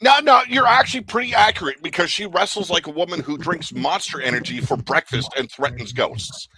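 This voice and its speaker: Wry male podcast host, bright forceful tone, dry close mic. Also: fairly high pitch, lively intonation, brisk, slightly rough, no room sound, rough recording. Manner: wry, joking commentary with colorful comparisons. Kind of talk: wrestling recap podcast.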